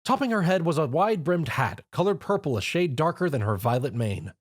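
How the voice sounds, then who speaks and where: Expressive male storyteller. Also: fairly high pitch, brisk. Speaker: narrator. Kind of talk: reading fan fiction aloud.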